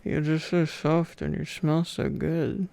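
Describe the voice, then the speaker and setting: Sleepy, creaky-voiced man murmuring slowly. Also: fairly high pitch, little pitch movement, dark tone, no echo. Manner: sleepy, affectionate, rambling, murmuring. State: tired. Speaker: ASMR roleplay performer. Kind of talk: intimate ASMR boyfriend roleplay.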